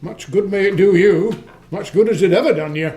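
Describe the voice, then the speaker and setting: A man's voice: high voice, moderate pace, mellow, close to an everyday voice. A man acting a scornful, curt old miser, a sneering uncle, in a radio play. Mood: scornful, grumpy.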